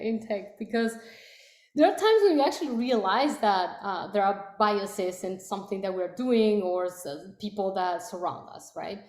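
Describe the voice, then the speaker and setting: A Spanish-accented woman, a native Spanish speaker, talks through a panel answer with many "um"s and restarts. Her voice sits at a middle pitch. The sound rings in a roomy, echoey space. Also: a little mellow. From Latin America. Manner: hesitant, frequent fillers and restarts. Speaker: product leader. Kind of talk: panel conversation. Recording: echoey room.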